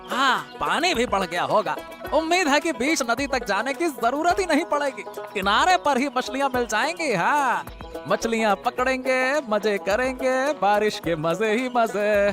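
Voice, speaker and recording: Man acting a high-voiced excitable fisherman. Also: a little slow, music in the background. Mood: cheerful. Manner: excitable, repeats words. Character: excitable, chatty humble male fisherman, deferential to royalty. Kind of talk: Hindi moral-story audio drama acting.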